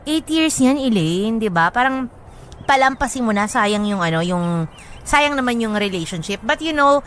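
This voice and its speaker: A woman's voice: fairly high pitch, lively intonation, moderate pace, fairly bright tone, a little hiss or hum. A woman hosting a radio advice segment in casual, playful banter, joking and switching between languages. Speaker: radio show host giving advice.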